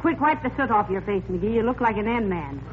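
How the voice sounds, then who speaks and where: An actress playing a sharp-witted, exasperated wife who scolds with dry sarcasm in a 1940s radio comedy. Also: female voice, medium pitch, animated, brisk, close to an everyday voice. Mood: sarcastic. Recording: hissy, tinny vintage radio recording.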